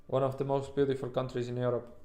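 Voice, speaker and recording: Halting Estonian-accented man, livestream host. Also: mid-range voice, completely flat delivery, dark tone, faint music behind. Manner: halting, rambling, informal, occasional swearing. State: sleep-deprived. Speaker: livestream host, futures trader. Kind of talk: casual livestream chat with viewers.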